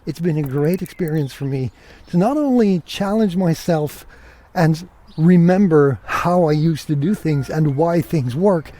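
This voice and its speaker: A man's voice: on the high side, warm tone. A man giving a casual, reflective podcast monologue as host, informally thinking aloud.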